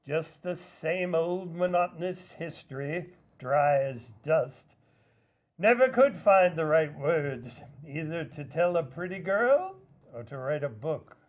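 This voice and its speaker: Guy doing gruff old professor from a radio play, weary, dramatic, talks really slow. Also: a little high, lively intonation. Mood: weary, resigned. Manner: theatrical, exclaiming, slow and deliberate. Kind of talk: golden age radio drama acting.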